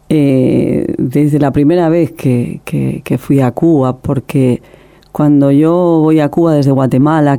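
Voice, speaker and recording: Dark-voiced middle-aged woman, passionate storyteller. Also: deep voice. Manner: passionate, emotional, many restarts. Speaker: documentary filmmaker, guest. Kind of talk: interview, personal storytelling.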